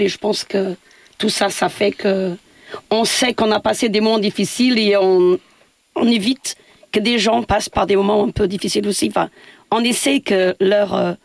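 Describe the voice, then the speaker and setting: A woman's voice: medium pitch, vocal fry, no room sound. A woman from Portugal, a building caretaker speaking as a radio interview guest, hesitant with many fillers and restarts.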